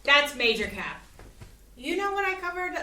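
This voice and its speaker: A woman's voice: sits high, very animated, normal speed, sharp tone, reverberant, distant mic, slight background noise, heavily acted. A woman reading the part of a nervous young male camera operator, speaking in an uneasy, whiny way.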